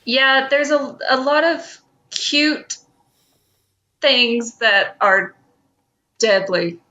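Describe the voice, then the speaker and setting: A woman's voice: sits high, animated, extremely slow, sharp tone. A woman co-hosting a podcast in casual, conversational banter, on a slightly dull, lo-fi recording. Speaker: podcast co-host.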